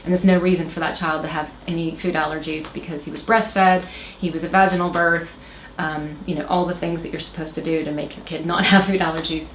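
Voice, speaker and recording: A woman's voice: sits low, little pitch movement, fairly quick, hardly any pauses. A woman in her thirties from Oklahoma, American with a slight Irish lilt, telling a personal story hesitantly, with many fillers and restarts. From Oklahoma, USA. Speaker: interview guest. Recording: roomy echo, faint background noise.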